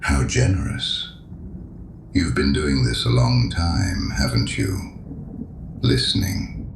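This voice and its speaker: Creepy polite stranger in an audio drama, slow taunting gravelly talk, echoey noisy booth sound. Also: male voice, a bass voice, lively intonation, music in the background, exaggerated voice. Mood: courteous, needling. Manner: slow, measured, insinuating, mock-courteous. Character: mysterious menacing male penitent, courteous, coolly taunting. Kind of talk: full-cast audio drama acting. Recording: roomy echo, clear background noise.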